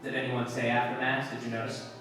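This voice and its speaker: Very echoey, distant hall sound with faint music. A man acts a dogged police sergeant in a radio drama, mid-pitched, deadpan and clipped, strictly matter-of-fact. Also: fast, lightly acted. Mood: probing, businesslike. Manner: clipped, deadpan questioning and reporting. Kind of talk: 1950s police radio drama acting and narration.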